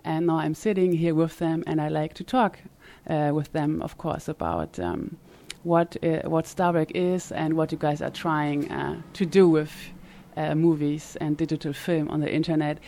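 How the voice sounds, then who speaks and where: Low-voiced woman hosting an interview. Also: normal speed, vocal fry, dark tone. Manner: hesitant, frequent fillers. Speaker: podcast host. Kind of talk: conversational interview. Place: conference summit venue.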